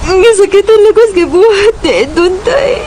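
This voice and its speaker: A woman's voice: extremely high pitch, expressive, moderate pace, a little mellow, slight background noise. A woman acting a heartbroken young woman in love in a Cebuano radio drama, with an emotional, plaintive delivery. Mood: tearful, hurt.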